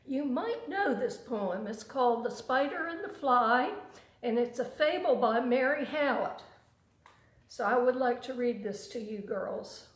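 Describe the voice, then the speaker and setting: An older woman, a grandmother, reading a storybook poem to children in an unhurried, dramatic storytelling manner. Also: on the high side, expressive, roomy sound, rough recording.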